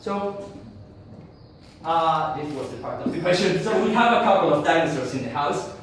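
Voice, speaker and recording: A man's voice: a little high, animated, crowd noise. Argentine man lecturing in an echoey hall. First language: Spanish. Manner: halting, many fillers and restarts. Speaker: conference speaker. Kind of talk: tech talk to an audience. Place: conference room. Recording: echoey hall, speaker far from mic.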